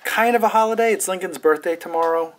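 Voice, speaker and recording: A man's voice: high voice, quick pace, noisy background. A man in his thirties chatting casually to a handheld action camera, tired and rambling with fillers. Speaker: vlogger. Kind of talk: casual vlog chat. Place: indoors, store and then home. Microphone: action camera held at arm's length.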